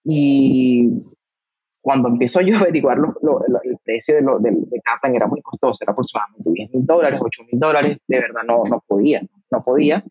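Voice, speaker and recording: A husky-voiced man in his thirties talks through his own story in a relaxed interview. He stumbles and repeats words as he remembers. The sound is dull and dry, like a remote call. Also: mid-range voice, brisk, no echo. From Venezuela. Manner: hesitant, repeats words while recalling. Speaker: guest, doctor. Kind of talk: casual interview answers.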